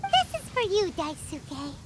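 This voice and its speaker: A woman voicing a tiny, childlike floating fairy companion in an anime video game, perky, cheeky and squeaky. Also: huge pitch swings, slow, very noisy, heavily acted. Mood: calmer. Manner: bubbly, self-satisfied, speaks of herself in third person. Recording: loud music behind the voice. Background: game music.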